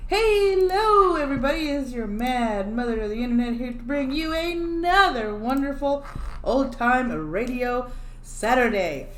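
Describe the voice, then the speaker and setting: Chatty lady streaming alone at home, laughs off her own tech glitches, echoey room. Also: high voice. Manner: chatty, self-deprecating, jokes about her own glitches. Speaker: livestream host. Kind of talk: casual livestream chat. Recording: echoey room with faint background hum.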